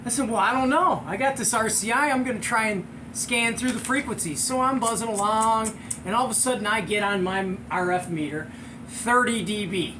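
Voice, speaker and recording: High-voiced American man telling animated stories over noise. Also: normal speed. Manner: excitable, slangy, chuckling storyteller. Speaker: show host and storyteller. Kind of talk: animated casual anecdote, with acted-out sound effects.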